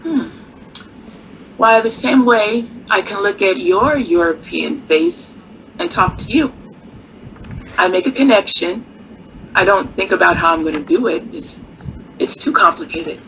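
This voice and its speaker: An actress playing a poised, worldly nightclub singer in a radio drama, with theatrical, wryly warm delivery. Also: female voice, medium pitch, expressive, slight background noise, rough recording. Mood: confident. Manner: theatrical, unhurried, uses endearments like darling and honey.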